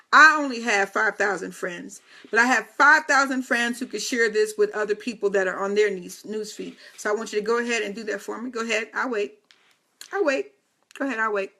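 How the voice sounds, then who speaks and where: Bright, playful woman in her fifties. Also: mid-range voice, brisk. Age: 50s. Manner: playful, teasing asides and chatty direct address. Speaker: host of a live video talk. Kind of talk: casual live-stream talk to viewers.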